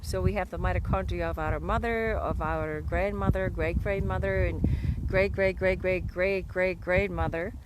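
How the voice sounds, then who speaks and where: A woman's voice: lowish voice, steady tone, normal speed, hardly any pauses. Female vlogger, Czech accent, heartfelt and conversational, recorded outside with heavy background noise. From Czech Republic. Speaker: vlog host. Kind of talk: casual, reflective livestream talk. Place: outdoors at sunset. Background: loud outdoor noise.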